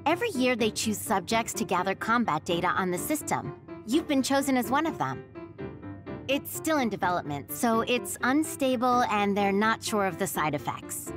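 Caring young female anime game operator, friendly, lively pitch, informal, music behind. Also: moderate pace. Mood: informative. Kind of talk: English anime-game voice acting.

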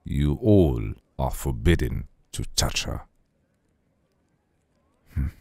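An ancient vampire lord, acted by a man, speaks in an extremely low, husky rumble. He is slow, formal and seductive, with cool courtesy and a possessive edge. The ASMR roleplay is recorded dry and close. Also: animated, dark tone, no room sound, heavily acted. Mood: authoritative. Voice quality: very deep, gravelly.

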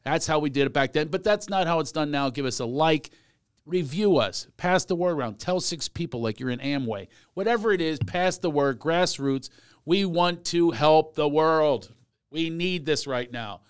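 A middle-aged man hosting a podcast in a small studio, animated and quick to laugh, talking close to a boom mic. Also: clear, resonant tone, no room sound. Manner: animated, laughs often, does voices. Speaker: podcast host. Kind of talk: casual chat. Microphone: studio mic on boom arm, headphones.

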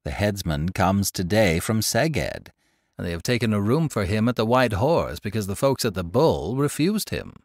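A man's voice: on the low side, very animated, moderate pace, dry recording, clean studio sound. A man voicing a stout, gossipy older woman shopkeeper in an audiobook, chattering in a breathless, exclamatory way. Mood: gossiping. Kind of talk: audiobook character reading.

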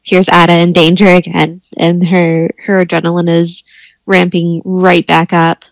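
A woman in a tabletop game speaks with a low, gravelly voice and a flat, even delivery. She answers hesitantly, often starting with "uh." The recording is dry. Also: measured pace, no room sound, low-quality recording. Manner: hesitant, with fillers. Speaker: player. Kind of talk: roleplaying game talk.

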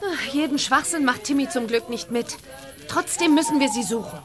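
A woman's voice: sits high, lively intonation, moderate pace, music in the background. An actress playing a spirited, confident young girl witch in a children's audio drama, youthful and plucky. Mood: lively, concerned.

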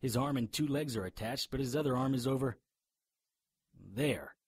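An actor playing a hard-boiled, sardonic hero in a radio drama, with a wry, grimly observant manner. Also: male voice, mid-range voice, normal speed, husky, dry recording, clean studio sound, natural voice.

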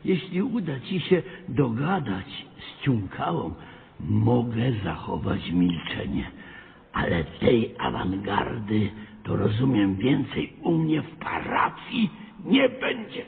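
An older man playing a stern, shrewd parish priest in a radio comedy, measured and admonishing. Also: mid-range voice, sing-song, gravelly, heavily acted. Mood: stern, dry. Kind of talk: radio comedy drama acting. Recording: reverberant hall, dull lo-fi sound.

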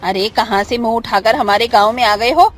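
A woman's voice: on the high side, normal speed, lightly acted. Cartoon villager, acted by a woman: confrontational, skeptical, scolding, music underneath.

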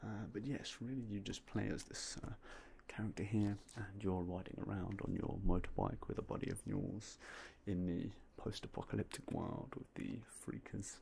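A young man softly whispering in a polite ASMR roleplay as a game store clerk, close to a binaural mic. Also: on the low side, steady tone, normal speed, gravelly, slight background noise. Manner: soft, polite, mostly unvoiced whispering.

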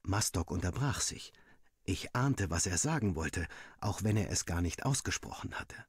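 A man reading a first-person story as a narrator, acting out the dialogue in an animated way. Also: deep voice, normal speed, slightly rough, no room sound, clean studio sound. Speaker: audiobook reader.

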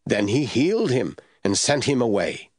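A man narrating scripture from a script as the storyteller of an audio drama, with a husky voice. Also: mid-range voice, big pitch swings, normal speed, no room sound, clean studio sound.

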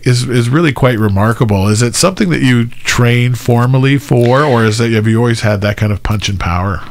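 A man's voice: medium pitch, fairly quick, creaky, slight background noise. A middle-aged man hosting a podcast, talking in long rambling sentences full of fillers. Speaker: podcast host. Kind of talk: interviewing. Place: small studio. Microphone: studio mic with headphones.

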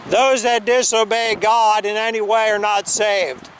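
Street preacher, male, insistent outdoor delivery, high pitch, wind on the camera mic. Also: measured pace, low-quality recording. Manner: loud, insistent preaching that drops to calmer conversation. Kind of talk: open-air preaching, then debate. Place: outdoor church parking lot.

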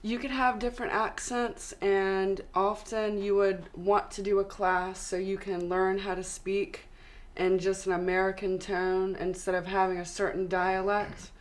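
A young woman with a Southern US accent, a guest on a studio interview, giving steady explanatory advice. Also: mid-range voice, measured pace. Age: young adult. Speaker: actress and model guest. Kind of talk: interview answers. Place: studio with city backdrop, seated on sofa.

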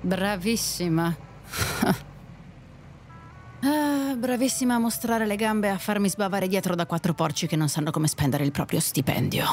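Casting: female actor as jaded, bitter club dancer, blunt and sardonic, medium pitch, audio drama with faint background noise. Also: moderate pace, darkish tone, natural voice. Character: bitter, brash, cynical female nightclub dancer. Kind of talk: Italian audio drama acting.

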